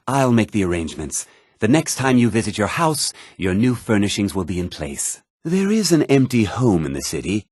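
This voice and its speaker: An actor voicing a haughty, formal middle-aged mage and official in a fantasy video game, speaking precisely and with condescending politeness. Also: male voice, low-pitched, breathy edge, dry recording, clean studio sound, heavily acted. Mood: courteous, businesslike.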